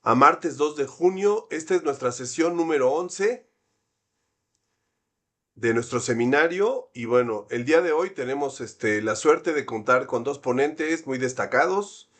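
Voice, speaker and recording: A man hosting an academic seminar, introducing a guest in a friendly, measured way. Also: mid-range voice, expressive, a bit bright. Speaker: seminar host.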